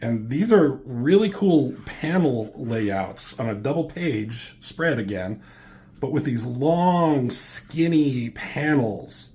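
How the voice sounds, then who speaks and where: A man in his thirties, just getting over a cold so his voice sounds slightly off, reviewing comics at home in a loose, halting way, full of ums and casual swearing. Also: mid-range voice, lively intonation, warm tone. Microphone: camera mic.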